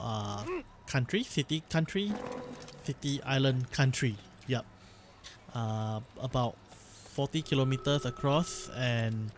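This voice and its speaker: A man's voice: mid-range voice, a little hiss or hum. Chatty young man rambling. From Singapore. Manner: rambling, self-correcting, chatty. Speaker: let's play host. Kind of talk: casual gameplay commentary.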